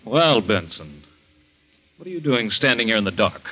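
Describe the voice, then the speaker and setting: An actor playing a controlled, authoritative husband in a 1940s radio drama, speaking firmly and huskily on a muffled old recording. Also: male voice, lowish voice, big pitch swings, normal speed. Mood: firm, insistent.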